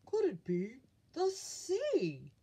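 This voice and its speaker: A puzzled, polite seal from a children's storybook, voiced by a woman reader, pitched up high and swooping. The questions come out slowly and pleadingly, full of wonder. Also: huge pitch swings, mellow, full character voice. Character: Magnus, puzzled, polite young ringed seal seeking help.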